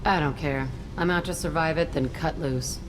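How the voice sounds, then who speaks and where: A woman's voice: low-pitched, normal speed, background noise, natural voice. A woman playing a tough, cynical fugitive criminal in a video game, speaking in blunt, clipped phrases.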